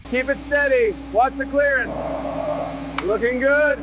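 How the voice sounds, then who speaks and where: A man's voice: very high voice, steady tone, measured pace, low-quality recording. A man giving short scripted work instructions as a mechanic. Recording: loud music over the voice.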